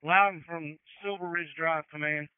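Man, fire incident commander, high pitch, dry, terse orders, two-way radio. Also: fairly even intonation, normal speed, clean tone, no room sound. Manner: directive, procedural, terse. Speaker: battalion chief, incident commander. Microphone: two-way emergency radio. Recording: tinny, narrow-band fire radio transmission.

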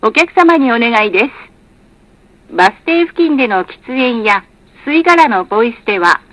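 A woman's voice: a little high, expressive, moderate pace, a bit bright, no room sound, rough recording. A woman reading scripted bus announcements in a polite, formal, carefully articulated manner. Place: recorded announcement played inside a bus.

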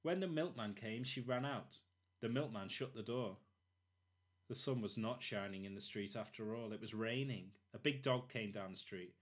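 A man narrating a children's storybook expressively, in short, deliberate phrases. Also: on the low side. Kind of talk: expressive children's read-aloud.

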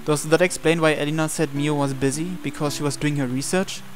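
Higher-voiced young man reading aloud. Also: steady tone, a little fast. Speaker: host reading a visual novel aloud. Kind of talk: live read-aloud of narration and dialogue. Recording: music behind the voice. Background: game background music.

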